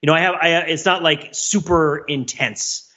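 Man, podcast co-host, slightly high pitch, bright forceful tone, casual film talk, analytical, careful distinctions. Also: moderate pace.

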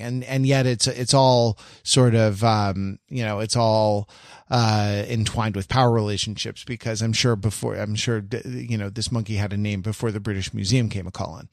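Halting, bookish man, close dry sound. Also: on the low side, creaky, dry recording. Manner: halting, searching, bookish digressions. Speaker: podcast co-host. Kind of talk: casual intellectual discussion.

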